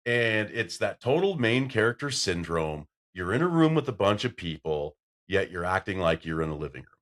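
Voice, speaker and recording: Deep-voiced man hosting a podcast. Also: normal speed. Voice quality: deep voice that carries. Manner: loud when excited, storytelling with acted-out quotes. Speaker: podcast host. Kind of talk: casual podcast chat.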